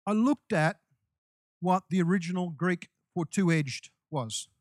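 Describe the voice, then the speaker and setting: Slightly high-voiced preacher, dry sound. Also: male voice, slow pace, darkish tone, dry recording. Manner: conversational preaching with restarts and asides. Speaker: pastor. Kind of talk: sermon.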